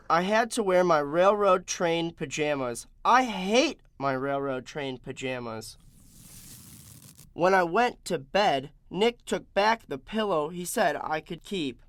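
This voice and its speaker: A man's voice: high voice, a bit bright, heavily acted. A man playing an aggrieved, sulky young boy, telling his story in a dramatic, complaining way for an audio drama. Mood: disgusted, weary. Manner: dramatic, complaining, drawn-out emphasis. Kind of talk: first-person storybook narration in an audio drama.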